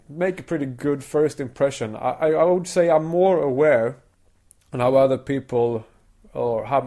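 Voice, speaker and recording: Mellow Swedish man, stammering. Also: mid-range voice. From Sweden. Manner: stammering, repeating words. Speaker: podcast host. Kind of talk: casual chat.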